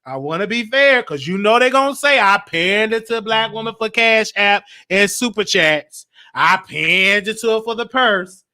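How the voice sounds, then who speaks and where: An American man hosting a live call-in show in a casual, animated, repetitive way. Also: high-pitched. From Chicago, United States. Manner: animated, repeats himself. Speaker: online call-in show host.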